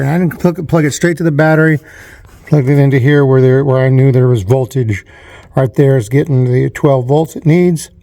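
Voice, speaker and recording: A man's voice: medium pitch, gravelly, mellow, dry recording. A man narrating a casual hands-on how-to in an informal, rambling way, repeating himself as he goes. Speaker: vlogger showing a DIY installation. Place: inside and around a parked van. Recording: noisy handheld recording with clicks.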